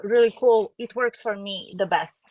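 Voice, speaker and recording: A woman's voice: medium pitch, normal speed, darkish tone, no room sound, rough recording. A woman speaking as a guest on a casual panel in an upbeat, emphatic way.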